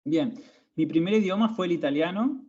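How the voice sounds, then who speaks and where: A man's voice: high-pitched, moderate pace, warm tone. Young argentine guy chatting, lots of ehs and restarts, dull lo-fi sound. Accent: Argentine Spanish. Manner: hesitant, many fillers and restarts. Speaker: podcast host. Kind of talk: casual conversation. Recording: dull lo-fi audio.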